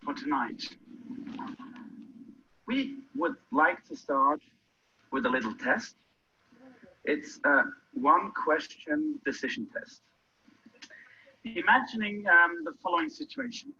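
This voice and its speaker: A man's voice: on the high side, very animated, takes it slowly, pauses often, pushed and bright, breathy edge. A man presenting a workshop, walking the audience through a quiz step by step. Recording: muffled lo-fi recording.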